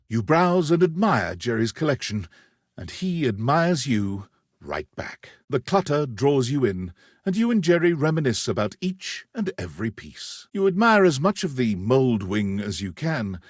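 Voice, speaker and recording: An expressive, husky game narrator. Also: male voice, mid-range voice, measured pace, no echo, studio quality. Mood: storytelling. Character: omniscient male narrator of a quirky dating game. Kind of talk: scripted storybook narration.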